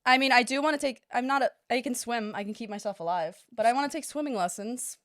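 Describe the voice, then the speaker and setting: A young woman from the United States, chatting as a podcast guest in a quick, self-deprecating way. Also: fairly high pitch, fairly bright tone. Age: young adult. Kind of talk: casual chat.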